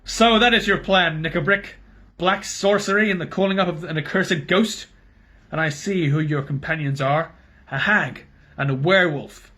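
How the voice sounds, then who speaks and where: A man doing a forceful young king. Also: fairly high pitch, expressive, a little slow, few pauses. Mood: commanding. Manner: forceful, declaiming. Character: young king, commanding and righteous.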